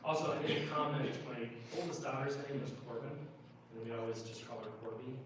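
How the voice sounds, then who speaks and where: A very reverberant, noisy room recording of an American man in middle age. He talks as a political candidate at an informal town meeting. His delivery is even in pitch, explanatory and broken by halting pauses. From Minnesota, United States. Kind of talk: informal town hall speech, answering questions. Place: indoor public meeting room, recorded from a distance. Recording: roomy echo, far mic, clear background noise.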